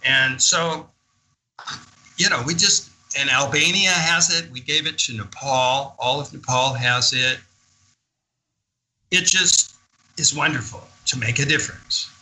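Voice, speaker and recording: Forceful, bright-voiced older man, enthusiastic talker. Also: medium pitch, a little slow, pauses often, poor audio. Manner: enthusiastic, emphatic. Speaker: interview guest. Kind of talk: animated conversational storytelling in a radio interview.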